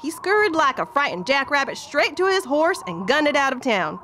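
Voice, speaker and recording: A woman's voice: sits high, sing-song, moderate pace, very noisy. A woman playing a folksy, wry Old West storyteller, narrating an audio drama. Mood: wry storytelling. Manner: folksy, colloquial.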